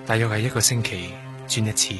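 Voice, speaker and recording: A man's voice: fairly low pitch, steady tone, a little fast, music in the background, natural voice. An actor with a Hong Kong Cantonese accent playing a young trainee doctor in a radio drama, guarded, evasive and defensive in his answers.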